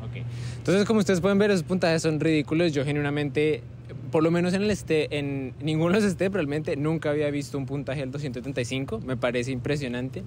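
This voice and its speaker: A man's voice: a little high, fairly quick, slight background noise. Casual young man hosting outdoors. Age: young adult. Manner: relaxed, conversational, many fillers like "como" and "eh". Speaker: host, medical student interviewer. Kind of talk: casual improvised interview. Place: outdoors on a university campus plaza with trees.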